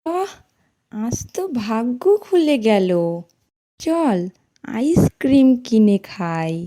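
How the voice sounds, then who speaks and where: An impulsive little schoolgirl acted by a woman in a moral cartoon. Her mellow voice swings widely in pitch as she draws out her phrases, expressive and easily tempted. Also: takes it slowly. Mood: excited, tempted. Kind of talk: Islamic moral cartoon voice acting.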